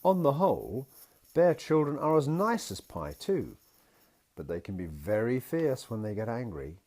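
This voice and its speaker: Man reading as a gentle, husky storybook father. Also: mid-range voice, very animated, a little slow, voice pushed up. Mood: reassuring. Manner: tender, reassuring storytelling. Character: gentle, patient father telling his daughter secrets. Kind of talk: picture-book storytelling.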